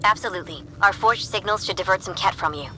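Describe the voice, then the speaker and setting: An actress playing a capable military tech specialist in a video game, clipped and businesslike. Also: female voice, mid-range voice, quick pace, sharp tone, slight background noise, natural voice, rough recording. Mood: focused. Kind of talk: video game cinematic acting.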